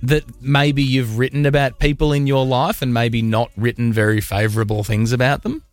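A man's voice: mid-range voice, fairly even intonation, moderate pace, no echo. A man with an Australian accent hosting casual talk radio, putting informal, inquisitive questions to callers. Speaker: radio host.

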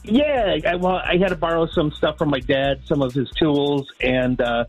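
A man's voice: a little high, moderate pace, breathy edge, soft background music, low-quality recording. A man with a Midwestern accent, calling in to a radio show and rambling sheepishly about his situation. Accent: Midwestern American. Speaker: caller. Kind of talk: casual phone call.

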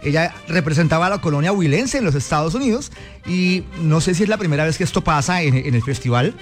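A man's voice: fairly high pitch, lively intonation, fast talker, faint music behind. A man hosting a morning radio show, upbeat and chatty with listeners. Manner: upbeat, reads listener messages. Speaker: radio host.

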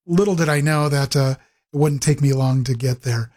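A man's voice: medium pitch, moderate pace, darkish tone. A middle-aged man from the Pacific Northwest telling his life story in an interview, with frequent ums and restarts. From Pacific Northwest, USA. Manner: rambling with many fillers and restarts. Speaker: guest, ministry executive director.

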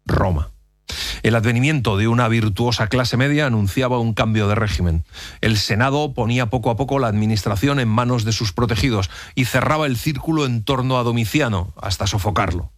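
A man narrating a historical documentary in an even, authoritative storytelling manner. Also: mid-range voice, a little fast, no echo, studio quality. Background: faint music.

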